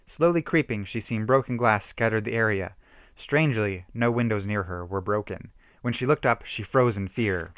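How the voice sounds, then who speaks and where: A man's voice: fairly low pitch, fairly bright tone, no room sound. A man giving an amateur dramatic reading of a horror story, with theatrical emphasis.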